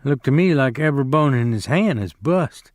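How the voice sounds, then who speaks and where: A man voicing a calm, firm ranch leader. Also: medium pitch, fairly quick, no room sound, studio quality, natural voice. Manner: level, firm.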